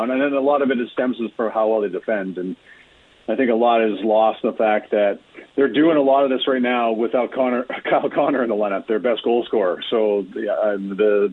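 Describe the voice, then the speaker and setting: A man with a husky voice giving conversational sports analysis as a guest, wordy and often restarting his sentences. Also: lowish voice. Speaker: sports reporter, show guest. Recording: tinny, narrow phone-like line.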